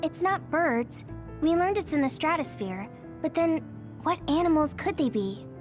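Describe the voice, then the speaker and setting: A woman playing a gentle, sincere, sensitive young girl in an English anime game dub. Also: high voice, animated, a little slow, music in the background. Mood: puzzled.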